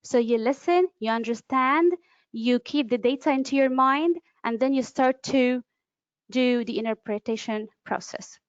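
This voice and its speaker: Woman, fairly high voice, lecturing, fillers and restarts, dry dull webinar audio. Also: a little slow, clear, resonant tone, dry recording. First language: Arabic. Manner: explanatory, with frequent fillers and restarts. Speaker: webinar presenter, interpreter. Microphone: computer mic, online webinar.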